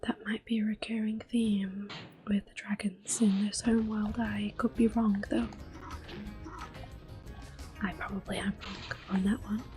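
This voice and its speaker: A young woman whispering gentle, unhurried commentary while playing a video game for an ASMR video. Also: medium pitch, little pitch movement, soft background music. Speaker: ASMR gaming host.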